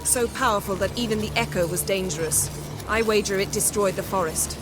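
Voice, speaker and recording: An actress playing a confident, commanding sorceress in a game cutscene, giving brisk instructions. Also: female voice, medium pitch, lightly acted. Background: loud game score music.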